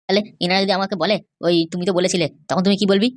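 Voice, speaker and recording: A woman's voice: mid-range voice, level tone, racing, close to an everyday voice. A woman voicing an opinionated village helper in an amateur cartoon, handing out assertive, colloquial advice. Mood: skeptical.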